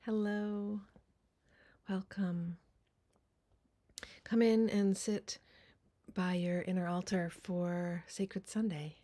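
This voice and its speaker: A woman leading a guided meditation in a soft, breathy, deliberate voice with long pauses. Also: mid-range voice, flat delivery.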